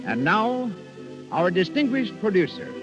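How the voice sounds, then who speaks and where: An American man announces a 1930s radio advertisement with polished salesmanship and lively swings in pitch. The recording is muffled, with music clearly behind him. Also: unhurried.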